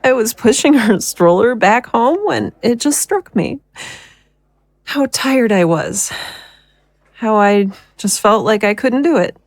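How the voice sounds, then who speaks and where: A woman playing a guilt-ridden, worn-down young mother in an audio drama, speaking haltingly and emotionally. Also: a little high, expressive, dry recording. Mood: anguished, guilty. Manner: halting, emotional confession with sighs.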